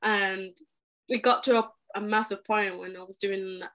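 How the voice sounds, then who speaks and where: A young woman from Egypt talks in a podcast interview. She is earnest and animated, and she often breaks off and restarts her sentences as she goes. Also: mid-range voice, measured pace. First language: Arabic. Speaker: podcast guest. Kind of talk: conversational interview.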